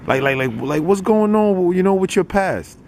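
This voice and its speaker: A man's voice: high-pitched, animated, normal speed, darkish tone, slight background noise. A man interviewing a rapper, asking long rambling questions full of "like" and "you know". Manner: rambling, repeated "like" while asking. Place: outdoors in a city neighborhood.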